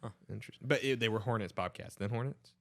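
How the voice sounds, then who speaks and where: A man's voice: lowish voice, quick pace, fairly bright tone, no room sound. A young man hosting a podcast, chatting casually about sports with friends. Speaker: podcast host.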